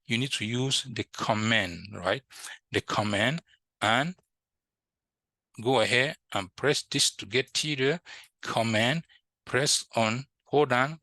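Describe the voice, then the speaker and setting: Guy doing a computer tutorial, bright forceful voice, super dry sound, repeats himself, says right? a lot. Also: medium pitch, no echo. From French-speaking country, moved to the US. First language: French. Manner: repetitive, instructive, often checks with "right?". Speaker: IT instructor. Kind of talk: informal screen-share tutorial.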